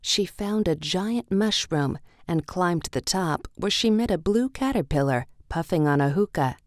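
A woman's voice: lowish voice, lively intonation, moderate pace, no echo, clean studio sound. A woman narrating a children's read-along storybook in a scripted, story-reading style.

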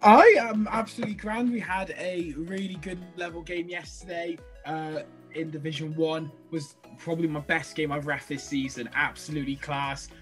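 A young man co-hosting a casual podcast over a video call from his bedroom, slightly hoarse from a cold, chatty and excitable. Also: on the high side, moderate pace, soft background music. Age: young adult. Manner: excitable, chatty, laughs easily. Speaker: podcast co-host and rugby referee. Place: bedroom at home. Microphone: laptop webcam on video call.

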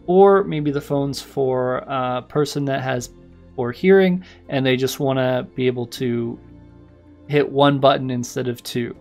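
A man's voice: a little high, gravelly, darkish tone. A man, hosting and narrating a casual tech tutorial. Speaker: tutorial host. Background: background music.

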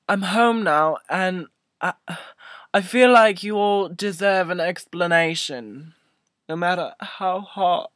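A man in his twenties telling a comic story to camera as a vlogger, halting and mock-emotional, swelling and hushing, close to a webcam mic in a small room. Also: sits high, dry recording. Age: 20s. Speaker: video blogger. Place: small furnished room indoors. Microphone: built-in webcam mic up close.

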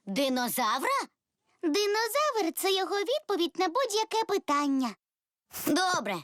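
A woman's voice: extremely high pitch, very animated, moderate pace, fairly bright tone, dry recording, studio quality, exaggerated voice. A woman voicing a playful, cheerful little girl piglet in a children's cartoon. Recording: music behind the voice.

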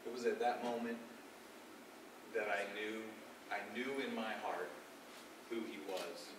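Echoey noisy stage recording, male actor as humbled big fisherman apostle, earnest, halting, reflective, emphatic monologue. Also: on the low side, fairly even intonation, far from the mic, close to an everyday voice. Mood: awed, reverent. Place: dark theatre stage, recorded from the audience. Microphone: far camera.

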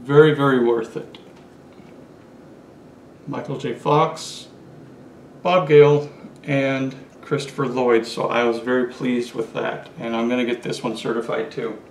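A man in his forties talks eagerly to the camera while he holds up the items he collected. His medium-pitched voice bounces around a small, echoey room, with a faint hiss underneath. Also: level tone, a little slow, pauses often. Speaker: collector vlogger. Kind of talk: casual show-and-tell vlog. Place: small indoor room with curtains.

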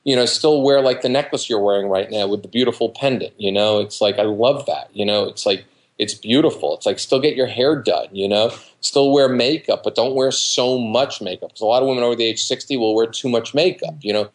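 A man's voice: mid-range voice, brisk. A man in his fifties giving casual, colloquial advice on a video call, emphatic and repetitive, acting out quoted remarks. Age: 50s. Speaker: dating coach, interview guest. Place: living room at home, indoors. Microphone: computer mic on a video call.

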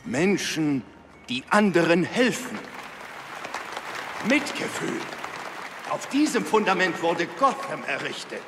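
An actor playing a benevolent male industrialist in an audio drama, speaking like an orator with measured pauses. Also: fairly high pitch, big pitch swings. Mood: grand, proud. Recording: echoey hall with crowd and noise.

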